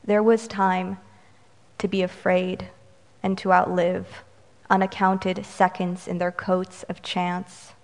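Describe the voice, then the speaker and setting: A Romanian-American woman whose first language is Romanian, reading her poems slowly and measuredly and adding brisk, wry remarks between them. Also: on the low side, level tone. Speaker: poet. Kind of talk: poetry reading with commentary. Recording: reverberant hall.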